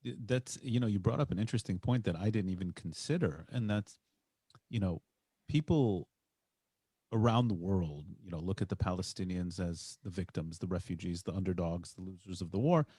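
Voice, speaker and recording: A man's voice: low-pitched, moderate pace, dark tone, slightly rough, no room sound, studio quality. A man hosting a podcast interview, thinking aloud with frequent "you know"s and stammered restarts. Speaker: podcast host. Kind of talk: casual interview talk.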